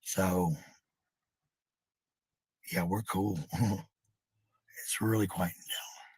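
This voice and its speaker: A middle-aged man with a gravelly, husky voice talking casually to viewers in a blunt, profane, rambling monologue, repeating phrases for emphasis. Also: low voice, fairly even intonation, very slow, darkish tone, no room sound, poor audio.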